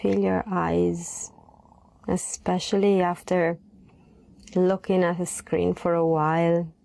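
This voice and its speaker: A woman leading a guided meditation in a soft, gentle voice, unhurried and pausing between phrases. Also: lowish voice, flat delivery, vocal fry.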